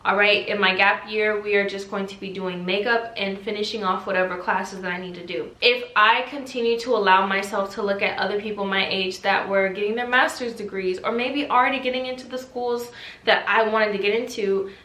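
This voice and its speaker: A woman in her twenties, a faith vlogger answering viewer questions in a talkative, animated way, exhorting listeners with rhetorical questions. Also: mid-range voice, fairly quick. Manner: talkative and animated, self-described loud yappy voice. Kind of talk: casual faith chat, preachy Q&A. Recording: echoey room.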